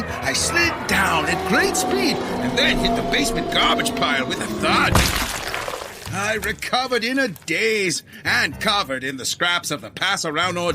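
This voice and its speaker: A man tells a comic story in a high, lively voice, taking his time. His manner is bumbling and blustering. Music plays underneath. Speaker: narrator and lead character. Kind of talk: comedic audio drama narration. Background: background music.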